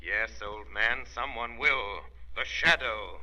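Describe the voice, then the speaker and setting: An actor playing a stern, unseen avenger in a 1930s radio drama, speaking in a commanding, taunting, deliberate manner. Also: male voice, mid-range voice, natural voice. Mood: menacing. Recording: muffled lo-fi 1930s radio recording.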